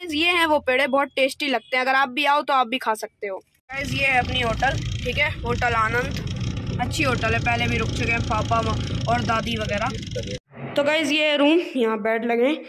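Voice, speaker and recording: A man's voice: very high-pitched, normal speed, noisy background. A boy filming a casual travel vlog on a phone held at arm's length, outdoors and in a moving car. He talks in a chatty way and keeps saying "okay" and "guys". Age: young. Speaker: travel vlogger.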